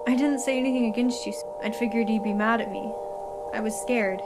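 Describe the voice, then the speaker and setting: A woman's voice: a little high, steady tone, fairly quick, very noisy, close to an everyday voice. A woman playing a caring, anxious young companion to the hero in a fan audio drama. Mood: concerned. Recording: loud music over the voice.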